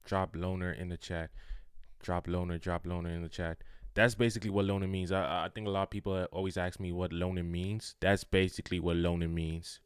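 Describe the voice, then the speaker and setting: A man's voice: deep voice, level tone, fairly quick, clean tone, no echo, studio quality. A young man with a South African accent, chatting loosely on his own livestream and hyping up his viewers. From Congolese, raised in South Africa. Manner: loose and talkative, hyping the chat. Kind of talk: casual livestream chat. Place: indoor livestream setup.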